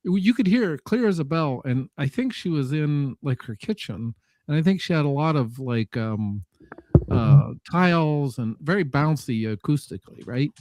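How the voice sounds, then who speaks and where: A man chatting casually as a panelist, talking in a halting, rambling way and often correcting himself. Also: fairly high pitch, a little mellow, no echo. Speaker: panelist on a live audio show. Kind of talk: casual unscripted chat.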